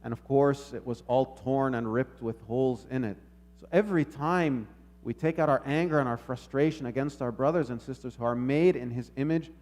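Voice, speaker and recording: A man's voice: mid-range voice, a little mellow. A man preaching a sermon in an instructive way, repeating phrases and checking in with short questions. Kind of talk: sermon with scripture readings and stories.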